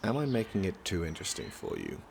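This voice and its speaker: Man playing a deep, gravelly, deadpan young prince. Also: fast talker, noisy background, heavily acted. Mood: sardonic, bored. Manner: deadpan, formal, witty. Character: dry, sardonic young prince, reluctant university student. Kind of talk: audio drama acting.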